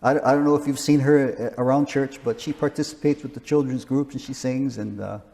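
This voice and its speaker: A man's voice: mid-range voice, fairly even intonation, fairly quick, creaky, mellow, big-room sound. A man in his sixties, a missionary, talking casually in an interview with frequent restarts. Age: 60s. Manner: rambling, frequent restarts and chuckles.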